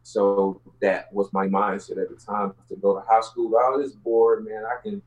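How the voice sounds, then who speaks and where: A man with a fairly deep voice and a Houston Southern accent speaks as an interview guest. He tells personal stories in casual, streetwise language. The audio is a little muffled and lo-fi. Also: little pitch movement, normal speed. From Houston, Texas, United States. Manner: colloquial, streetwise slang.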